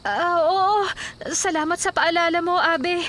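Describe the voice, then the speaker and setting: A woman playing a concerned, sympathetic young daughter in a Filipino radio drama, speaking gently. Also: very high voice, measured pace, slight background noise. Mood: curious, concerned.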